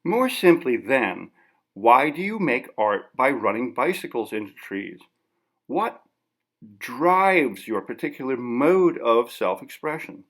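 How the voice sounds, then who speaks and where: A man's voice: medium pitch, very animated, slow pace, a bit bright, full character voice. A man voicing a formal, persistent interviewer in an audiobook story, politely but firmly pressing an artist. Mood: probing.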